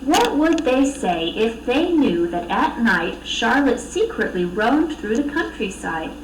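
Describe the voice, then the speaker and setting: A woman reading a children's storybook aloud with expressive narration. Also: a little high, slow pace, recorded from a distance. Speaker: storybook reader. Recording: echoey room, off mic, faint noise.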